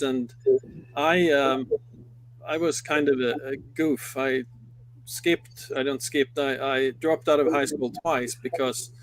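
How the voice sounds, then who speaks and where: A middle-aged man from Sweden with a Swedish accent, a company head recounting his life in a live interview, halting and full of fillers and restarts. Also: mid-range voice, a little hiss or hum, low-quality recording. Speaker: guest, company CEO. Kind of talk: live interview, personal story.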